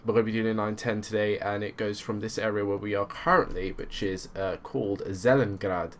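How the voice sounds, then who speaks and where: A man with a lowish voice casually narrates his gameplay, wandering through his thoughts and softening nearly everything with loose filler phrases. A faint hum sits under the recording. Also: steady tone, brisk. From Croatian background. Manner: rambling, full of hedges like "kind of". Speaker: gaming video host.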